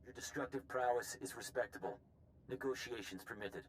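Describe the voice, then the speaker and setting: A cold, clipped machine intelligence, voiced by a man, sounding a little far off with faint ambience behind it. Each phrase comes out flat and mechanical. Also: medium pitch, a little fast, slight background noise, close to an everyday voice.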